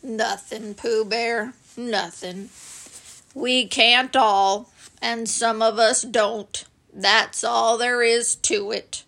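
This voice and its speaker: A woman voicing a gloomy old gray male donkey who talks slowly and mournfully. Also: on the high side, fairly even intonation, vocal fry, fairly bright tone, heavily acted. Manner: slow, mournful, sighing.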